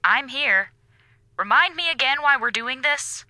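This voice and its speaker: An actress playing a strict, exasperated space station commander in an audio drama, bossy and impatient. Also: female voice, sits high, expressive, unhurried, sharp tone, exaggerated voice.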